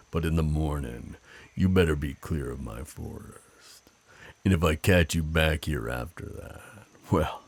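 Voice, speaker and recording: A man playing a flirtatious, protective werewolf of the forest in an intimate ASMR roleplay, with a very deep, gravelly, husky voice and a teasing, deliberate delivery. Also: full character voice. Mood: stern, teasing. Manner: slow, teasing, chuckling, deliberate pauses.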